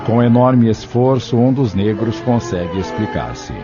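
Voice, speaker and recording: A man's voice: mid-range voice, unhurried. A man narrating an audio drama from a script. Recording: muffled lo-fi, loud music behind.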